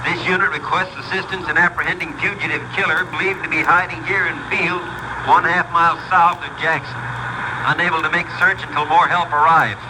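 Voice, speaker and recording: A clipped, official police caller, fairly high in pitch. He comes over a noisy two-way radio in an old radio drama. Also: fairly even intonation, fairly quick. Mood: urgent, formal. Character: urgent, formal male police radio caller. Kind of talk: 1950s radio drama acting.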